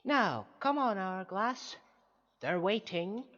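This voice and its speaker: Flamboyant scheming male villain, acted by a man, slow grand delivery, hugely animated, mock-affectionate, audio drama. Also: high voice, muffled recording, full character voice. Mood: mock-soothing. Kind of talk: science fiction audio drama acting. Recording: echoey long reverb with music behind.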